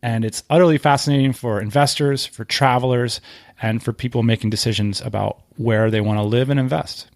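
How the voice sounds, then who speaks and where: A man's voice: medium pitch, fairly even intonation, brisk, vocal fry, no echo, studio quality. A man hosting a podcast, introducing the show in a conversational way. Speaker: podcast host.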